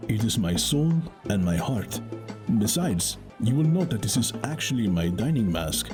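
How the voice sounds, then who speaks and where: An actor playing a noble, solemn masked wrestling champion in an audio drama, speaking with formal, grandiose earnestness. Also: male voice, lowish voice, sing-song, normal speed, music in the background. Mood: proud, earnest.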